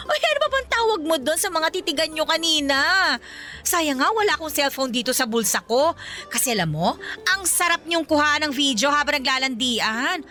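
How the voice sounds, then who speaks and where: A sassy young woman who mothers and teases her friend in a radio drama. Her voice is high and piercingly bright, her delivery exaggerated. Light music plays underneath. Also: big pitch swings, normal speed, exaggerated voice. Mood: teasing. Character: sassy, teasing, protective young female best friend. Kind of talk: radio romance drama acting.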